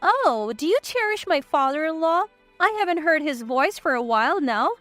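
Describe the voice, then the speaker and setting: Female narrator as defiant wife, sarcastic, firm, lively high voice, audio story. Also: moderate pace, soft background music. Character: sharp-tongued, defiant young mother and wife.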